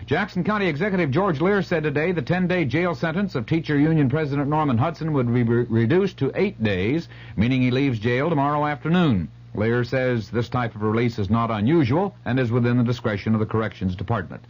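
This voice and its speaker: A man's voice: mid-range voice, fairly quick, few pauses, a little mellow. A man with an American accent reading a scripted local news bulletin on radio. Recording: dull 1970s AM radio recording.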